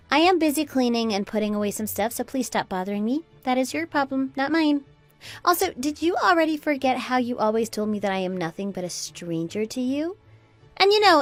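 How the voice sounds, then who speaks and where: Woman acting a scornful, high-voiced young wife. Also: lightly acted. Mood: cold, sarcastic. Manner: sarcastic, taunting. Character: scornful, fed-up adult daughter-in-law, Anna, defiant and mocking. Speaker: voice actor in an online radio drama. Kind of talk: melodramatic radio drama dialogue.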